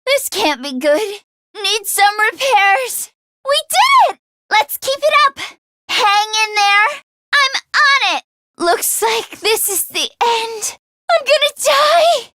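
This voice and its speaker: A woman's voice: very high voice, sing-song, takes it slowly, bright, forceful tone, dry recording, heavily acted. A woman voicing a plucky, earnest teenage girl mechanic in a video game, speaking emphatically and easily flustered. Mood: distressed, strained, then upbeat, confident. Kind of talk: video game voice acting, battle barks.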